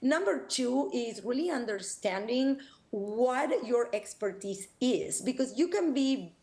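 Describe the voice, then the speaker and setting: A woman's voice: a little high, lively intonation, slow pace, mellow. A woman from Argentina with an Argentine accent, a personal branding coach speaking eagerly in an interview over a video call.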